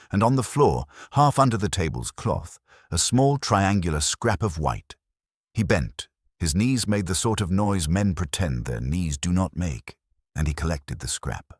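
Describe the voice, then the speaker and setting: A man narrating a period mystery story in a measured, dryly humorous manner. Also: low voice, husky, dry recording, studio quality.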